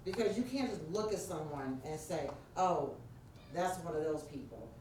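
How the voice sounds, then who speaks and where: A woman with a New York accent and a deep voice, presenting an interactive workshop and prompting her audience directly. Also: expressive, moderate pace, dark tone. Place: church meeting room. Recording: very echoey room, distant mic, faint noise.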